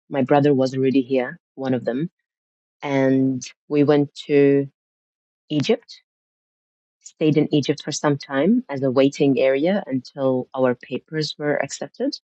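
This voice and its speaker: A woman's voice: very deep voice, dark tone, dry recording. Woman from syria telling her story on a podcast, reflective, kinda muffled lo-fi audio. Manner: reflective storytelling, frequent restarts. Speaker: podcast guest. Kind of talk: conversational interview. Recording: muffled lo-fi sound.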